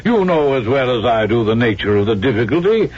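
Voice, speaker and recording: An actor in a 1970s radio drama playing a shrewd, pompous, blustery gentleman who represents British merchants. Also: medium pitch, very animated, a little fast, husky. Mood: shrewd, insistent. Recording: dull vintage radio broadcast recording.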